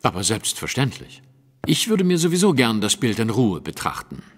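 A man playing a composed, observant Victorian gentleman detective in a German audio drama, polite, precise and probing. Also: medium pitch, huge pitch swings, moderate pace, big-room sound. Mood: calm, courteous.

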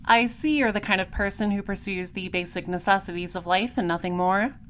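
A woman playing a patient, composed detective, narrating an audio drama in a measured, wry way. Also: mid-range voice, slight background noise, natural voice. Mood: dry narration. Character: composed, patient female space-patrol detective narrating her case.

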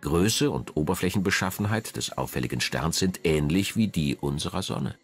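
A man narrating a scripted documentary in a measured, dramatic way. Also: low voice, lively intonation. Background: background music.

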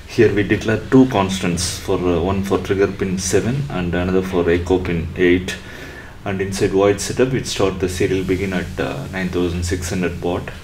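Low-pitched man giving a halting tutorial. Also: a little mellow, slight background noise. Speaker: electronics tutorial presenter. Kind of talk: step-by-step tutorial narration.

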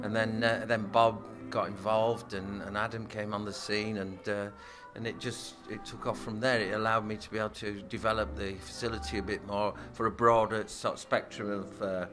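A man, a musician and studio owner, answering interview questions in a halting way, restarting his sentences often. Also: lowish voice. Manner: halting, stumbling restarts, flat delivery.

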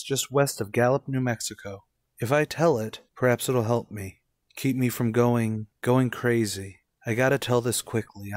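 An actor playing an anxious American man in his thirties in an old-time radio thriller, with measured, dramatic delivery. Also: mid-range voice, no room sound, lightly acted. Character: anxious 36-year-old American man telling his eerie road story. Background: music.

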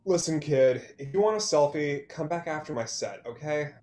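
A man's voice: a little high, animated, moderate pace, a little mellow, natural voice. A young man reading the part of a sarcastic, impatient music performer in a play. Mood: annoyed. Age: young adult. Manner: curt, sarcastic. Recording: echoey room on video call.

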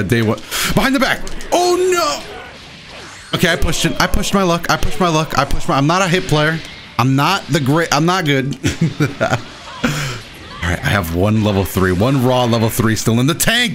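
A man hosting a gaming video with casual, excited, exclamatory and self-deprecating play-by-play commentary. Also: fairly high pitch, moderate pace. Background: game music behind the voice.

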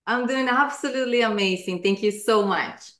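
A woman's voice: on the high side, normal speed, fairly bright tone. Colombia-born woman, roomy laptop audio. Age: 40s. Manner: earnest, many fillers and restarts. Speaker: guest, life coach. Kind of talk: video call interview. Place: living room at home.

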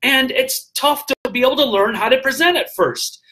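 Animated high-voiced man on a live stream. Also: moderate pace. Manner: animated, gestures a lot, filler-heavy with frequent "all right?". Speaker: vlogger giving business tips. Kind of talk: casual motivational live stream. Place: hotel room indoors. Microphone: webcam at desk distance.

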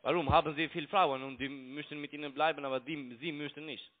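High-voiced man, foreign accent, tinny phone. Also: fairly even intonation. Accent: foreign-accented German. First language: not German. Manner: halting, repetitive broken grammar. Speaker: phone scam caller. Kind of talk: argumentative phone call. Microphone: telephone. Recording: tinny narrow phone line.

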